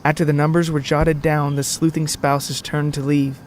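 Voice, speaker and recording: A man narrating a scripted comic story in a wordy, deadpan manner. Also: a little high, moderate pace, slight background noise.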